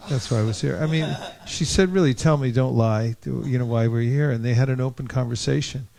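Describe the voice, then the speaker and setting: A man leading a reflective group discussion in a thoughtful, halting way, often correcting himself as he goes. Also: medium pitch, vocal fry, warm tone. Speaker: discussion leader at a scripture class.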